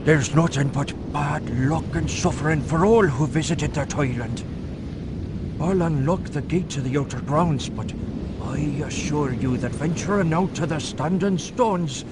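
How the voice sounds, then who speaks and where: An actor playing a fearful, superstitious groundskeeper, full of foreboding warnings in a game cutscene. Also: male voice, unhurried, close to an everyday voice. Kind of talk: dramatic game cutscene acting. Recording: noisy, rumbling stormy background.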